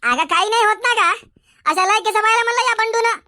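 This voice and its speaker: Piercing, hot-headed cartoon villager. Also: male voice, very high voice, fast talker, sharp tone, no echo, clean studio sound, heavily acted. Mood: combative. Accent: Varhadi Marathi. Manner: brash, combative. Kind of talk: comedy cartoon dialogue.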